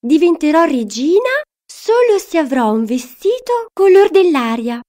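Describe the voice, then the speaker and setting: Woman acting high-pitched dramatic young princess. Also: very animated, measured pace, darkish tone, full character voice. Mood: demanding. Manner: exclaiming, theatrical.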